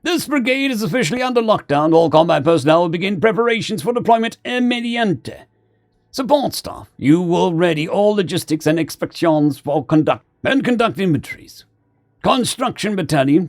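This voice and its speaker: A man's voice: high-pitched, huge pitch swings, fairly quick, voice pushed up, full character voice. A man voicing a formidable, blunt marine commander in a military sci-fi story, clipped and commanding. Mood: barking orders.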